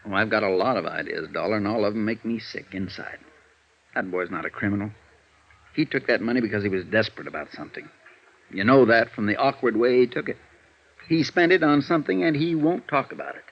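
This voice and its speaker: An actor playing a weary, conscientious defense lawyer in old radio drama, earnest and downcast, on a dated recording. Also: male voice, on the low side, brisk, vocal fry. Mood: frustrated, earnest. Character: weary, conscientious male defense lawyer, troubled by his client. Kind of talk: 1950s radio drama dialogue. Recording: dull, faintly noisy old radio recording.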